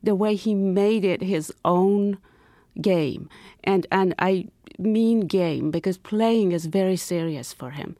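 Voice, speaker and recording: A woman from Iran, a professor and poet, speaking as an interview guest in an expressive way, dropping to a whisper for emphasis. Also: mid-range voice, takes it slowly, dry recording, studio quality. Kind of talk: interview conversation.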